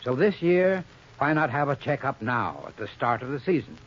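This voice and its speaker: A man's voice: mid-range voice, lively intonation, normal speed. A man reading a scripted 1930s radio commercial as an expert spokesman, with formal, instructive phrasing. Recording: muffled 1930s radio recording.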